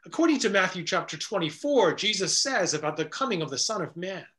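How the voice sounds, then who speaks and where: A middle-aged man, a pastor, preaching an earnest, measured sermon indoors before a stone wall. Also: high voice, sing-song, fairly bright tone.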